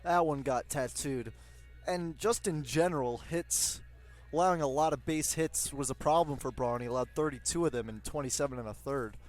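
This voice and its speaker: A man's voice: medium pitch, normal speed, faint music behind. A man working as a baseball color commentator, explaining and analyzing in a conversational way.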